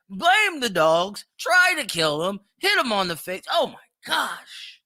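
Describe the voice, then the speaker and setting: A man's voice: very high voice, sharp tone, dry recording, clean studio sound. A man hosting a podcast, giving opinionated reaction commentary in a brash, profane, exclamatory way. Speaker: podcast host.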